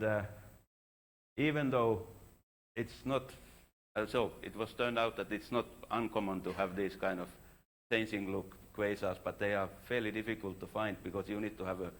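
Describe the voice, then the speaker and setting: A man giving an informal scientific conference talk as a researcher, speaking haltingly and often correcting himself mid-sentence. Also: on the low side, fairly even intonation, fairly bright tone. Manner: halting, frequently self-correcting, informal phrasing.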